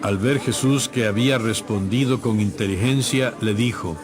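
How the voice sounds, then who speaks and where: A man's voice: fairly low pitch, normal speed. A man reading scripted narration for a dramatized audio Bible. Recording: music playing behind.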